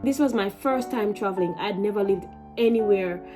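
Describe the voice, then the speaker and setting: A young woman with a Jamaican accent telling a personal story in a vlog, animated and emphatic, with many restarts. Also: medium pitch, normal speed, over music. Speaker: vlogger.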